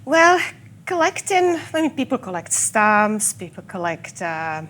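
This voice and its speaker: A woman from the Czech Republic with a Czech accent and a slight Scottish tinge, guiding a tour of a whisky collection and explaining it patiently, with small pauses. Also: mid-range voice, sing-song, a bit bright. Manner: fluent, with filler pauses and slow stressed words. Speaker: whisky guide. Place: whisky collection room, indoors.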